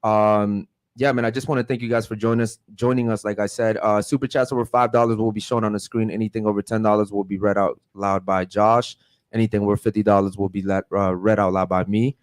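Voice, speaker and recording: A man hosting a casual podcast, loose and quick to laugh. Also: lowish voice, flat delivery, no room sound. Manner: loose, laughs often, says "man" a lot. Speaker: podcast host.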